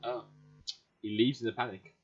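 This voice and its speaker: A man's voice: mid-range voice, level tone, moderate pace, slightly rough, highs cut off. A man giving casual gameplay commentary as a gaming video host. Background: faint game music.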